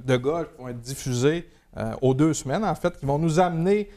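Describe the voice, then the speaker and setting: Male sports TV host, Québécois accent, slightly high voice, hesitant phrasing. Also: brisk, warm tone. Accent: Québécois French. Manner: hesitant, many restarts and fillers. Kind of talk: TV interview.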